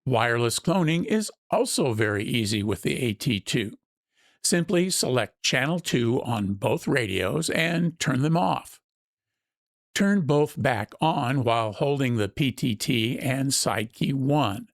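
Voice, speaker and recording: A man reviews a gadget, walking through its app one step at a time. His voice sits at an average male pitch and has a pronounced creaky, gravelly fry. The delivery is that of an explanatory product demonstration. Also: lively intonation, a little slow.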